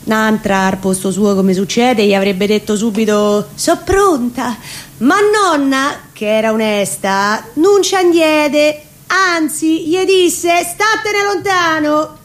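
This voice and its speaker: A woman with a Roman accent gives a theatrical recitation of dialect verse, telling it deliberately with drawn-out phrases. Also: a little high. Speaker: reciting performer.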